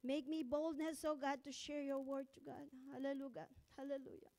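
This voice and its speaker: High-voiced Filipino woman pastor preaching. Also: steady tone, fairly quick, warm tone. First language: Ilocano. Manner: nervous, laughing, prayerful. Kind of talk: sermon.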